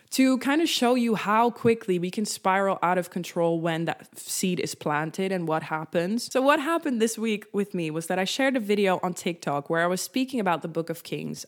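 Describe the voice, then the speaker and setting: Young Dutch woman giving a lively devotional talk. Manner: animated, acts out her own reactions with rising pitch; conversational, addresses viewers directly.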